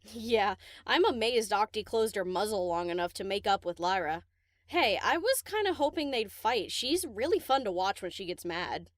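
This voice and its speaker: Woman playing a brash, lively DJ pony. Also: medium pitch, moderate pace, no echo, clean studio sound, close to an everyday voice. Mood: amused, curious. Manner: casual, slangy. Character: brash, easygoing young female DJ pony. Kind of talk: audiobook character acting.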